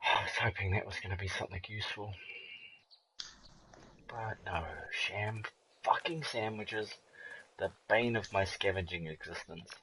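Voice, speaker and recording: A man with a very low, deep voice streams a game live and keeps up a loose running commentary. He swears constantly, laughs a lot and drifts into rambling jokes, with a faint hiss of noise under the recording. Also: steady tone, measured pace, long pauses. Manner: swears freely, laughs often, rambling jokey asides.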